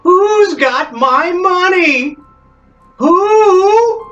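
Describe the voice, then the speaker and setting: A man's voice: expressive, fairly bright tone, lo-fi sound, faint music behind, exaggerated voice. A man wailing as a blind woman's ghost. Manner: slow, high, drawn-out wail.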